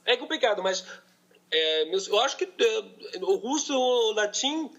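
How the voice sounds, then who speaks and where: Homem com sotaque colombiano, hesitante. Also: male voice, high voice, sing-song, a bit bright, lo-fi sound. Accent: Colombian Spanish, from Bogotá. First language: Spanish. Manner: halting, searching for words, many restarts. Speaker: podcast guest, polyglot. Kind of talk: casual conversation in a second language.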